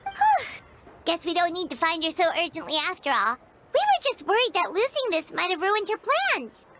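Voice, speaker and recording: A woman voicing a small, excitable, cheeky fairy companion in an animated game, with a squeaky childlike voice. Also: normal speed, heavy background noise, exaggerated voice. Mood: concerned, curious. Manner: perky, refers to self in third person. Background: prominent background music.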